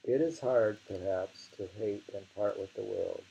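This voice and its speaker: A man reading translated poetry aloud in a deliberate way and commenting on it casually, on a dull recording. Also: lowish voice, vocal fry, mellow, husky. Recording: dull lo-fi home recording with hiss.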